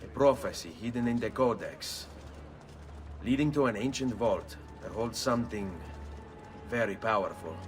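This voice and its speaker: A man's voice: on the low side, animated, moderate pace, lightly acted. An actor with an Italian accent playing a brooding, determined nobleman assassin of about thirty in a video game. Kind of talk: video game cutscene acting. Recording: music behind the voice.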